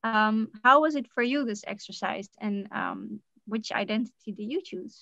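A woman moderating an online workshop, soft-spoken as she calls on participants, heard over a laptop video call. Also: mid-range voice, moderate pace, gravelly, no echo. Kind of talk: facilitating a group discussion. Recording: dull dry video-call audio.

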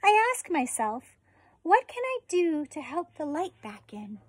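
An American woman in her forties, a teacher reading a children's picture book aloud outdoors with deliberate, expressive phrasing. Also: sits high. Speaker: elementary school teacher reading a story. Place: outdoors on a residential deck.

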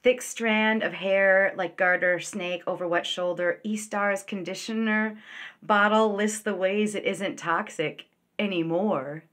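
A middle-aged woman poet reading her own verse aloud with deliberate, dramatic phrasing, recorded on a phone held at arm's length. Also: mid-range voice, fairly even intonation, fairly bright tone.

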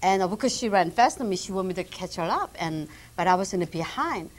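A woman's voice: on the low side, lively intonation, fast talker. A middle-aged woman from Korea with a Korean accent and simple, broken English, a talk-show guest vividly telling her story on a TV studio set, on a lapel mic. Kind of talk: casual chat. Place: TV studio set decked with flowers.

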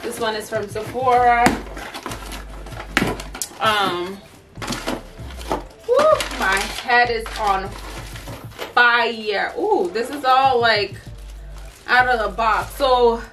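A woman's voice: a little high, drawn-out words, long pauses, background noise. A woman in her thirties chatting casually and tiredly to camera in a bedroom vlog, with lots of ums and likes. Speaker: vlogger. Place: bedroom at home.

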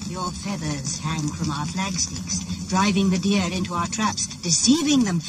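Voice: mid-range voice, lightly acted. A performer in a 1980s radio play chanting riddles, curses and pleas to the spirits. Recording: noisy old radio play recording.

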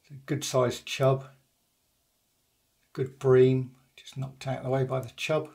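An older man calmly narrating a day's fishing in a voice-over, matter-of-fact and unhurried, with frequent pauses. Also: mid-range voice, creaky. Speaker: angler vlogger.

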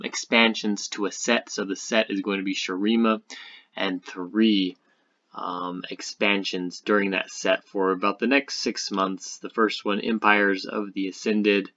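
Low-voiced man, slightly muffled gaming commentary. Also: fairly bright tone. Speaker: gaming video host. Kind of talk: casual news and speculation commentary. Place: indoor voice-over on screen recording.

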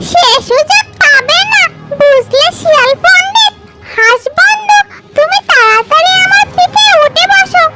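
A woman's voice: extremely high pitch, animated, a little slow, sharp tone, pitched above the performer's own voice, heavily acted. A woman voicing a confident, clever hen, cheeky and reassuring, in a children's cartoon.

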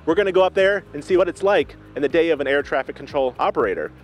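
A man in his thirties hosting a local TV segment with an upbeat, brisk presenter delivery. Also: on the high side, lively intonation, faint music behind. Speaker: TV host. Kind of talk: local TV host interview. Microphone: handheld mic.

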